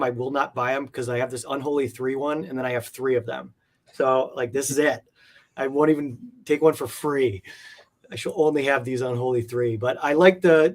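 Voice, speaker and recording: A man talking casually as an interview guest, rambling enthusiastically through details of things he collects. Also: medium pitch. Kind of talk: casual chat.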